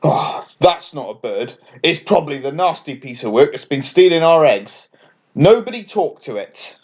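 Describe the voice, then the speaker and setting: Booming bossy seagull, done by a man, loud, sharp, bad-tempered, storybook villain, emphatic swings, slightly dull sound. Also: sing-song, moderate pace, husky. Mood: scornful.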